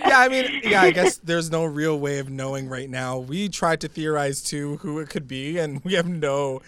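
A man hosting a casual interview, upbeat and quick to laugh. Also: fairly high pitch, expressive, fairly bright tone.

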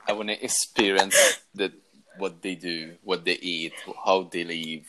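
A young man, a guest in a casual question-and-answer chat, speaking haltingly with many pauses and laughs. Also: deep voice, fairly even intonation, sharp tone, poor audio. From Italy. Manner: hesitant, halting, laughs often.

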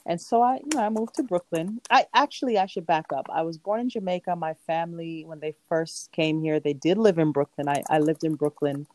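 A woman's voice: sits low, fairly quick, few pauses, darkish tone, low-quality recording. A woman poet from Queens, New York, speaking as a guest in a casual interview. She talks reflectively, rambles a little and laughs often. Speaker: poet and performing artist.

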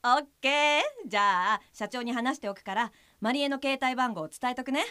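A woman performs a cheerful young female friend in an audio drama. Her high, bright voice is lively and reassuring, with a dry, close studio sound. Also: moderate pace, smooth, dry recording.

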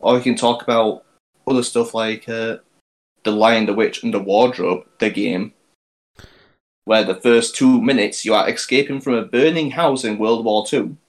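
A man's voice: fairly low pitch, fairly even intonation, moderate pace, poor audio. A man hosts a casual podcast and steers the topic. He talks in a chatty, loose way and laughs often, using plenty of fillers. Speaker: podcast host leading the topic.

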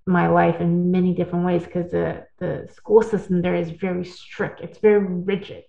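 A woman's voice: lowish voice, level tone, normal speed, vocal fry, dark tone. Earnest woman on a tinny phone line. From born in the United States, partly raised in Taiwan. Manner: earnest, storytelling, with restarts and tag questions. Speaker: guest. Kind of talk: casual interview talk. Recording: echoey, phone-like audio.

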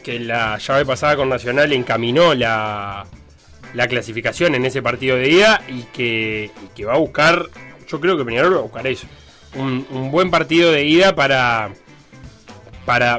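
Bright-voiced man talking football. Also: mid-range voice, normal speed, soft background music. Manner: rambling, many fillers and restarts. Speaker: sports radio panelist. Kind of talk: casual football talk.

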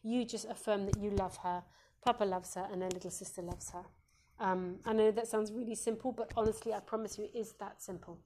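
A woman with a warm, mellow, slightly croaky voice hosts a live parenting Q&A. She speaks gently and reassuringly as a Montessori educator, often pausing on "um". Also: mid-range voice, fairly even intonation, slight background noise. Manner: gentle, reassuring, with frequent ums.

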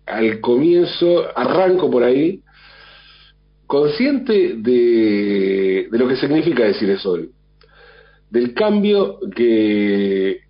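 A radio host, sick at home with a mild flu and cough, musing in a thoughtful, rambling way with many restarts. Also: male voice, mid-range voice. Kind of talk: reflective monologue. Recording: dull lo-fi sound.

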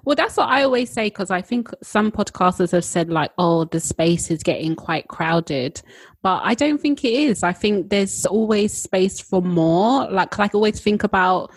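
A woman co-hosts a podcast in a chatty, enthusiastic way. Her voice is a little husky, and it is recorded dry and close with no room sound. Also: medium pitch, expressive, normal speed, no echo. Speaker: podcast co-host. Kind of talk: casual podcast chat.

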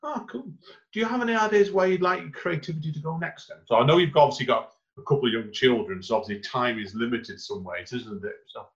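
A man's voice: mid-range voice, fast talker, few pauses. Male podcast host, chatty and encouraging, mid voice, slightly muffled line. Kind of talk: casual interview chat.

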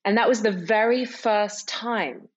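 Slightly muffled lo-fi recording, woman, unhurried pace, podcast interviewer, conversational. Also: medium pitch. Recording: slightly dull, lo-fi audio.

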